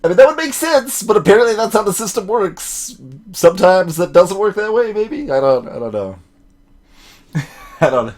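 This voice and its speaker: A man's voice: high voice, very animated, moderate pace, darkish tone. A man giving casual, conversational commentary as he plays a video game. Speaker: let's player voicing game characters. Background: game music.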